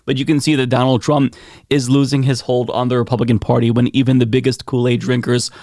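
A man's voice: medium pitch, little pitch movement, quick pace, a little mellow, no echo. A man hosting an opinionated political commentary show, talking in a sarcastic, emphatic way into a close mic.

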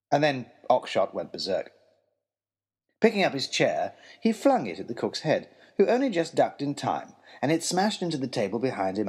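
An actor playing an earnest, wry young gentleman adventurer, narrating a comic adventure story from his letters in an expressive, formal, old-fashioned way. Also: on the high side, husky. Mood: dramatic.

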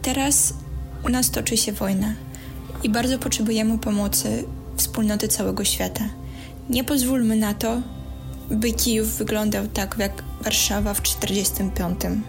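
A woman's voice: medium pitch, steady tone, normal speed, gravelly. A young woman from Ukraine, giving a prepared appeal. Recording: faint music behind the voice.

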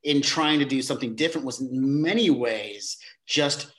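Halting, reflective man in interview. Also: mid-range voice, a bit bright. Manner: halting, many restarts and repeated words; acts out quoted exchanges in a livelier voice. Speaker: theater director, podcast guest. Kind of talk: casual interview conversation.

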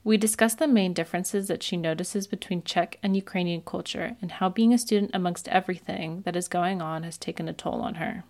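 A woman hosts the segment, reading scripted introductions in a measured, clear manner before asking her guest questions. Her voice sits a little low for a woman. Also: darkish tone. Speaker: student radio host and interviewer. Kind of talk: scripted narration and interview questions.

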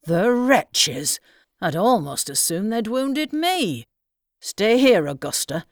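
A woman voicing an excited elderly gentleman who exclaims in agitation. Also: medium pitch, slow, dry recording, studio quality, voice pushed up. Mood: alarmed. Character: excited elderly gentleman, easy-going merchant.

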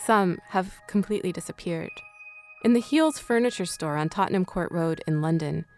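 A woman's voice: fairly low pitch, moderate pace, darkish tone. Woman narrating a documentary over music. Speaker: podcast host and narrator.

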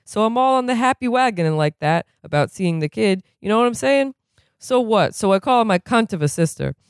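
A reader putting on a profane, rambling man in recovery who is quick to rage and keeps asking if you know what he's saying. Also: medium pitch, expressive, clear, resonant tone, no room sound, clean studio sound, pitched above the performer's own voice, natural voice. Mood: aggrieved. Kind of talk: reading a novel aloud, putting on a character.

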